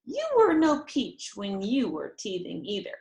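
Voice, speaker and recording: A woman's voice: medium pitch, huge pitch swings, a little slow, vocal fry, a little mellow, full character voice. A woman voicing a young girl's mother in a storybook, affectionate and lightly exasperated, teasing and reassuring.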